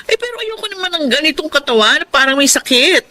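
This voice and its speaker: A driven young saleswoman in a Filipino radio drama. Her voice sits a little high and she answers in short, matter-of-fact phrases, stubborn about what she wants. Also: big pitch swings, fast, sharp tone, heavily acted. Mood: defensive.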